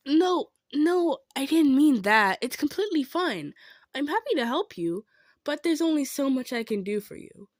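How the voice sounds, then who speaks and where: Girl voicing a sweet modest centaur lady, gentle n kinda hesitant, close dry sound, roleplay audio. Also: high-pitched, very animated, moderate pace, no echo, pitched above the performer's own voice. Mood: torn, apologetic. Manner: gentle, hesitant, stammering, earnest. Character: kind, modest, earnest female centaur traveler. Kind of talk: improvised roleplay audio drama, addressed to listener.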